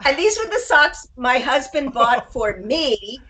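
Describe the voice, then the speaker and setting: A woman's voice: high-pitched, sing-song, a little slow, pushed and bright. A woman speaking as a writer being interviewed, conversational and reflective, feeling her way toward the right words. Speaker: interview guest, short story writer.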